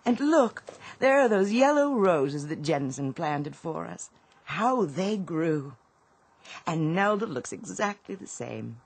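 An actress playing a genteel, contented older mother in a radio drama, fussing gently over her household. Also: fairly low pitch, very animated, slow pace, husky, heavily acted. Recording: music under the dialogue.

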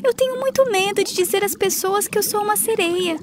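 A woman voicing a kind young mermaid princess in a gentle, soft-spoken cartoon dub. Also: extremely high pitch, a little fast. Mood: sad. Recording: cartoon dub with music behind.

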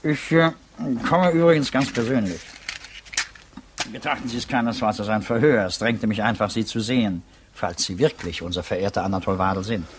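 An actor in a 1950s German radio drama playing a courteous, puzzled public prosecutor who probes politely and formally. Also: male voice, fairly low pitch, normal speed, a little hiss or hum, close to an everyday voice. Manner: formal, polite, hesitant probing.

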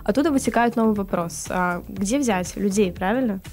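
A woman's voice: mid-range voice, normal speed, a little mellow, soft background music. A young woman in her twenties, a guest in a studio interview, talking cheerfully and laughing often. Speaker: guest, tourism project author. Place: radio studio. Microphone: studio mic on stand.

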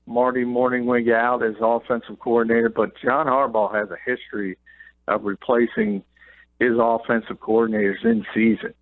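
A man calls in over a thin, tinny phone line, his voice dry and close. He breaks down football news in a quick, chatty way with short laughs. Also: on the low side, no room sound. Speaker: NFL columnist guest. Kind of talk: sports radio analysis by phone.

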